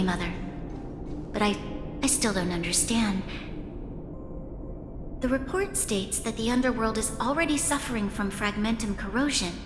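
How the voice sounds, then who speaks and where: An actress playing a dutiful, troubled young daughter and heir in a video game, respectful and hesitant. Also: female voice, a little high, close to an everyday voice. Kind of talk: video game story voice acting. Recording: echoey reverb with music behind.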